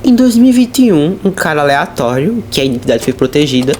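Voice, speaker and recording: A man's voice: on the high side, very animated, fast talker, darkish tone, a little hiss or hum. A man with a Brazilian accent telling horror stories as a host, mixing narration with informal asides and dramatic emphasis. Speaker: host of a horror storytelling channel. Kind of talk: storytelling narration with casual asides. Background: music under the voice.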